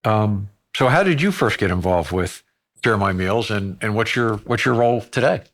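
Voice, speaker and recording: A man's voice: lowish voice, expressive, normal speed, fairly bright tone. A man hosting a podcast interview, asking brief, plain questions.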